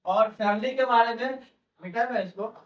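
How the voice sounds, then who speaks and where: A man's voice: extremely high pitch, normal speed, recorded from a distance, muffled recording. A male English teacher, lecturing a class and repeating his key phrases as he keeps questioning the students. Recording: roomy classroom, voice from off-mic.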